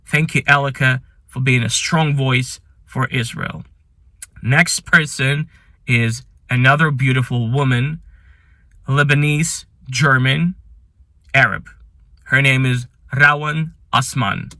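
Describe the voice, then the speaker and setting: A man who is not a native English speaker hosts a ranked commentary, speaking earnestly and with admiration, with some halting phrasing. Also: medium pitch, pushed and bright, dry recording. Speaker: channel host. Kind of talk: ranking list commentary.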